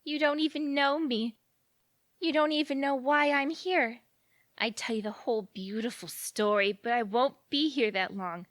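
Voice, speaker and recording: An actress playing a giddy, lovestruck romantic woman in an audio drama, gushing and dramatic and quick to take offence. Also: sits high, a bit bright, no room sound, studio quality. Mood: giddy excitement. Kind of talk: audio theatre acting.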